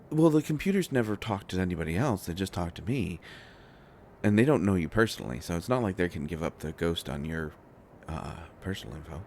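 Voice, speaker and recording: A man acting a shy, flustered, devoted inventor boyfriend in an intimate roleplay audio drama, talking in halting, stammering rambles. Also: deep voice, a little mellow, slight background noise.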